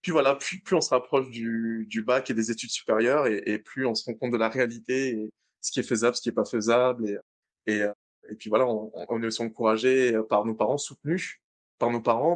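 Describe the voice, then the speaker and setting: Man, late 20s, French accent, relaxed, restarts often, interview guest. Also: medium pitch. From France, born in Madagascar.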